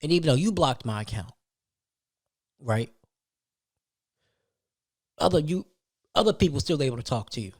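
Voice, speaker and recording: A man's voice: on the high side, expressive, moderate pace, long pauses, a little mellow. A man hosting a podcast, telling a personal story as a casual, slangy rant, leaning on repetition and rhetorical questions. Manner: emphatic, slangy, repeats phrases and rhetorical questions.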